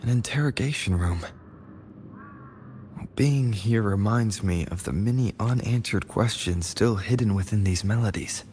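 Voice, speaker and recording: Man playing a low-voiced thoughtful traveller. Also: a little mellow, slight background noise, close to an everyday voice. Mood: pondering. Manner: musing, measured. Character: calm, thoughtful male traveller piecing together clues. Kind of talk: video game voice acting, reflective monologue.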